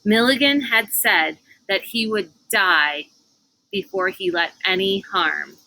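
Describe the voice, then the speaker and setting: A woman reading a children's novel aloud as narrator, with expressive, deliberate, dramatic phrasing. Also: medium pitch, gravelly, low-quality recording.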